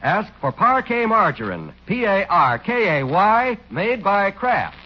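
A man's voice: sits high, sing-song, unhurried. An American man announcing in a scripted 1940s radio style. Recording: dull vintage radio recording with music. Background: music.

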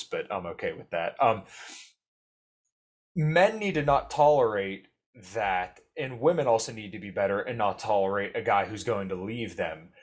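A young man with a fairly low voice talks politics on a podcast. He is earnest and opinionated, and he often stumbles and restarts his sentences. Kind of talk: casual political podcast chat.

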